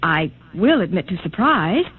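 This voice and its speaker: An actress playing a reserved, clinical geneticist professor in a mid-century radio drama, speaking in a formal, clipped way. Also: female voice, fairly low pitch, sing-song, normal speed, slightly rough, exaggerated voice. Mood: cool, guarded. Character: reserved female geneticist professor in a regimented future. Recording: tinny, noisy old radio recording.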